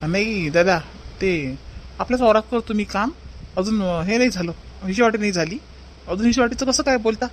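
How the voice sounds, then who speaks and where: A man voicing a young village farmer in a family cartoon, halting and deferential, with a rural Vidarbha Marathi accent. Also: sits high, slight background noise, lightly acted. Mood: deferential, defensive.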